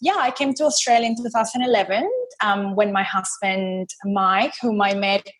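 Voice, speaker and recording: A woman's voice: mid-range voice, fairly even intonation, moderate pace, a bit bright, poor audio. A woman in her thirties from Venezuela with a Spanish accent, chatting as a podcast guest with bubbly energy and many fillers. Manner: bubbly, laughs often, frequent fillers and repeated words. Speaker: podcast guest, business strategist. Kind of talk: casual interview chat.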